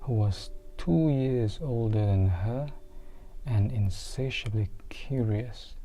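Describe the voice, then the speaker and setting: A soft-spoken reader giving an ASMR storybook reading, hushed and deliberate, pausing often between phrases and slipping into near-whispers. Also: medium pitch. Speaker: book reader.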